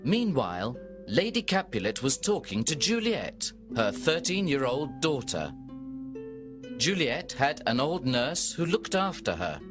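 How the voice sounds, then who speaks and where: A man narrating a storybook for learners from a script, in a measured, deliberate way. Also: medium pitch, very animated, over music.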